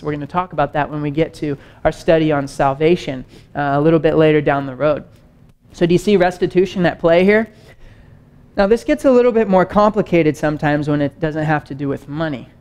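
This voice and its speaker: Animated young man preaching on stage. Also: fairly high pitch, creaky, clean tone. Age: young adult. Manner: animated, conversational, gestures widely while pacing. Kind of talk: teaching sermon. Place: stage with wood-panel backdrop and screen.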